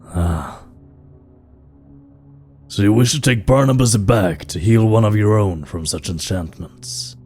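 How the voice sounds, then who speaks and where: A man's voice: on the low side, takes it slowly, pauses often, a little mellow. A man playing a proud, grave king of stone folk in a fantasy audio drama, speaking in a stately, formal way. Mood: measured, stern. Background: background music.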